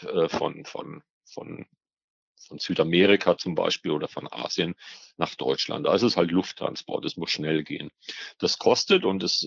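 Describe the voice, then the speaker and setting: Very deep, gravelly man explaining. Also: no room sound, dull audio. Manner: hesitant, searching, repeats words while explaining. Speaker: expert guest, biologist on ornamental fish trade. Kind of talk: spoken interview answers, explanatory.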